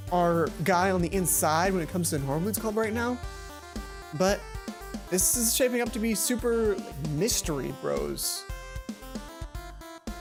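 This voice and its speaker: A woman hosting a let's play, reading a visual novel aloud and adding chatty, informal, animated asides. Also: on the low side. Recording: music behind the voice. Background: game music.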